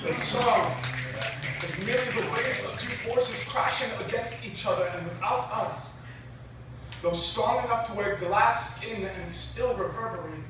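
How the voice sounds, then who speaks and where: A young man in his late teens performs spoken-word poetry with dramatic, deliberate phrasing and weighted pauses. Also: high voice. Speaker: slam poet. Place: live poetry slam venue. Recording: echoey room, distant mic, clear noise.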